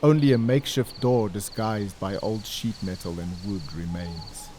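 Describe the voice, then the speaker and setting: A man giving solemn, measured, scripted narration for a dramatic audio story. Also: fairly low pitch, noisy background. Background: music and ambience.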